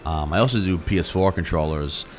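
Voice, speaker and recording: A man hosting a gaming channel, talking casually and off the cuff in a rambling, halting way with repeated words. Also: low voice, soft background music. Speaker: YouTube gaming channel host. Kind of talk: casual, unscripted chat to viewers.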